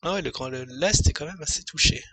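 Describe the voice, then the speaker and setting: Gravelly-voiced man with a slight cold. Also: a little high, a little fast, pushed and bright, dry recording. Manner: rambling, thinks aloud with many fillers and asides. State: slight head cold, throat going. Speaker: streamer. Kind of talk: casual news commentary, reading an article aloud.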